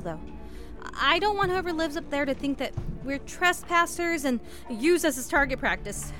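A high-voiced, resourceful woman hiker in an audio drama. She is naturalistic and rambling under pressure, swearing yet reassuring. Soft music sits behind her. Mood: determined, reassuring. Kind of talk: full-cast audio drama acting.

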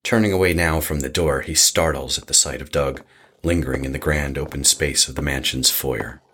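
A man's voice: extremely low pitch, steady tone, normal speed, a bit bright, husky. A man narrating a scripted horror story in an audio drama.

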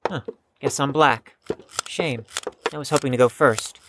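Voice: mid-range voice, measured pace, noisy background, close to an everyday voice. An actor playing a reserved, defensive young chess prodigy in an audio drama, with a guarded, precise, slightly stiff delivery. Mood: cautious, dry. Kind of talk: scripted audio drama acting.

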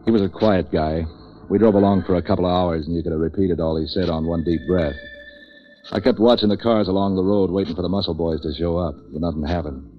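An American man playing a cynical, street-wise waterfront hustler in deadpan 1940s radio noir style. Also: low-pitched, lightly acted. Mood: dry, matter-of-fact. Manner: hard-boiled, deadpan wisecracking. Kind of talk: 1940s radio noir acting, first-person narration. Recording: dull, lo-fi 1940s radio recording.

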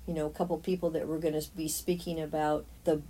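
A woman's voice: sits low, flat delivery, quick pace, dark tone, a little hiss or hum, low-quality recording. A woman in her sixties talking casually as an interviewed artist. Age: 60s. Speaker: mosaic artist being interviewed.

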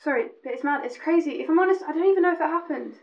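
A woman's voice: high voice, racing, highs cut off. A woman playing a chatty, sceptical railway worker in an amateur audio drama. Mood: shaken, insistent. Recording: clear background noise and ambience.

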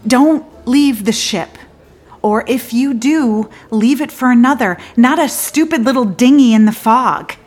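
An actress playing a disillusioned woman lawyer in a theatrical monologue, confiding in a halting, wry and bitter way with abrupt swings. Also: a little high, darkish tone, faint music behind. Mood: rueful.

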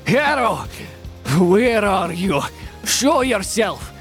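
A man playing a taunting, grandiose supervillain in a superhero audio drama, with theatrical, pompous, drawn-out delivery. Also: high voice, very animated, vocal fry, a bit bright, soft background music, heavily acted. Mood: enraged.

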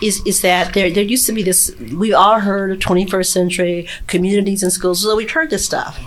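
A woman's voice: mid-range voice, fairly even intonation, brisk, slight background noise. A woman speaking as a panel guest, laying out her points step by step with emphasis in an earnest discussion. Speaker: panel guest, child and parent advocate.

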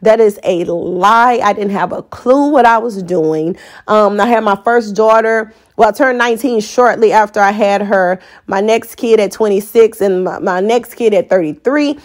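A woman's voice: medium pitch, moderate pace, hardly any pauses. A woman in her forties with an American accent, hosting a podcast as an unscripted, candid and colloquial personal monologue. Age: 40s. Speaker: podcast host.

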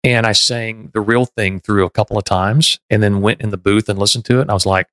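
A man's voice: lowish voice, fairly even intonation, a little fast, fairly bright tone, no echo. Man from Texas, middle-aged podcast host, earnest and reflective, dry close sound. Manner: earnest, self-reflective, frequent restarts. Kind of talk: casual conversation.

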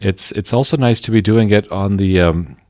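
Male priest co-host, very low voice, dry sound, wry and relaxed. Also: normal speed, no echo. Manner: wry, brief interjections. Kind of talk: casual chat.

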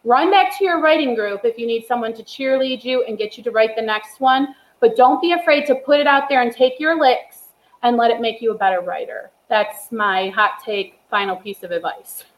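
A woman writer talks in casual chat, blunt and emphatic as she hands out advice. Her voice sits a bit high, and the room around her is echoey. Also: moderate pace. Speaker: podcast guest, writer.

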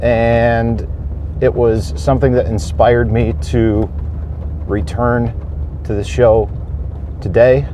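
A man hosting a homestead podcast, talking thoughtfully with pauses and fillers. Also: mid-range voice, flat delivery, noisy background. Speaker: podcast host. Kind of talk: casual solo podcast talk. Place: on a homestead farm.

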